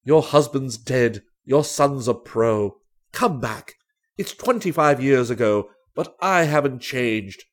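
A man voicing a blunt, sentimental old vaudeville performer with a husky voice and emphatic phrasing, in a storybook reading. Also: mid-range voice, animated, slow pace, pitched below the performer's own voice. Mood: pleading, tender.

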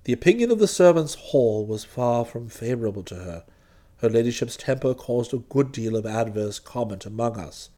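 A man voicing an unflappable, deferential English valet in a storybook reading, with formal, measured and faintly superior phrasing. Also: mid-range voice, animated, dark tone, voice pushed down, lightly acted. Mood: frank, composed.

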